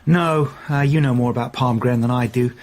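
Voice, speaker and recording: Man playing senior cop, dry and probing, medium pitch, radio drama questioning. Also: steady tone, normal speed, a little mellow, natural voice. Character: male senior police detective, dry, probing. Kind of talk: naturalistic radio drama acting.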